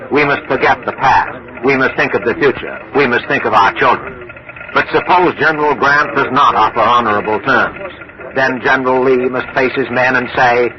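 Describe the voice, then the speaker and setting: Casting: actor as male 1940s news commentator, deliberate, weighty oratory, medium pitch, muffled vintage sound. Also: lightly acted. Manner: grave, deliberate, rhetorical. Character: solemn, rhetorical male radio news analyst. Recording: muffled old radio, crowd behind.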